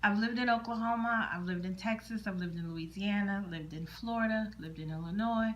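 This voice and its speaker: A woman's voice: mid-range voice, fairly quick, fairly bright tone, muffled recording. A middle-aged woman chatting casually to her camera at home, rambling and repeating words as she thinks aloud. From born in Germany, military child. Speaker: vlogger. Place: indoors at home, windows open.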